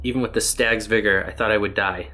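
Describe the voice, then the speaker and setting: A worn-out man lost for countless years in a strange world, his words coming low and steady, as a man reads him in his own voice. The tone sits a little deep and never strains. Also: quick pace. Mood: weary. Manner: plain, even reading of written tablets. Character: weary male test subject lost ages in another world.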